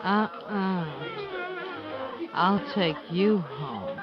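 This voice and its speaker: An actress playing a flirtatious, teasing and insistent femme fatale in a 1950s radio thriller. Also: female voice, on the low side, lively intonation. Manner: teasing, insistent, drawn-out coaxing. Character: coaxing, flirtatious femme fatale, butcher's woman. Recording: muffled 1950s radio recording, loud music.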